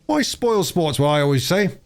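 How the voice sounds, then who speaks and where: A man's voice: a little high, very animated, normal speed, voice pushed up. A man voicing an easygoing, folksy old workman with rustic working-class speech in a storybook reading.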